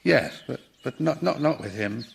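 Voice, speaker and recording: A pompous, easily outraged character in a radio comedy. His voice crackles with fry and swings widely in pitch. He speaks emphatically and with exasperation. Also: lively intonation, normal speed. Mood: dismayed. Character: indignant, self-righteous dead man, pompous and easily outraged. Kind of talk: radio sitcom acting.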